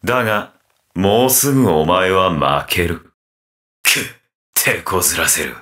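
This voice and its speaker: An actor playing a ruthless, mocking undead armored mercenary villain in a video game, with a taunting, theatrical delivery. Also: male voice, sits low, lively intonation, a little slow, sharp tone, exaggerated voice. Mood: menacing. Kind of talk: video game battle voice acting.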